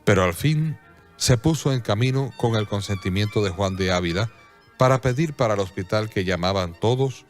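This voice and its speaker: A man narrating a scripted radio drama as a measured, solemn storyteller. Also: lowish voice, soft background music.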